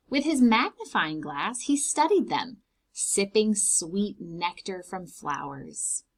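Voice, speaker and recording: A woman reading a children's storybook aloud in an expressive way, explaining things simply to young children. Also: lowish voice. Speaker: read-aloud host for preschoolers.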